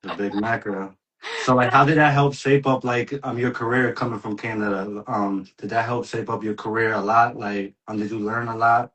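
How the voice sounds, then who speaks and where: Laid-back man hosting, halting and slangy. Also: lowish voice, steady tone, a bit bright, poor audio. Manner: loose, halting, slangy with many "um"s. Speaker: podcast host. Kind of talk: casual interview.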